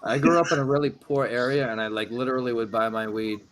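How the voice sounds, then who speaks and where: A man telling stories in an unhurried, laid-back way during a casual chat, with frequent ums. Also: mid-range voice, steady tone, a bit bright, slight background noise.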